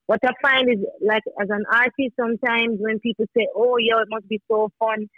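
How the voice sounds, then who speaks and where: A woman's voice: fairly high pitch, level tone, brisk, no room sound, phone-quality sound. A young woman musician talking casually as a podcast guest, full of fillers and restarts. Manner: rambling, filler-heavy, many restarts.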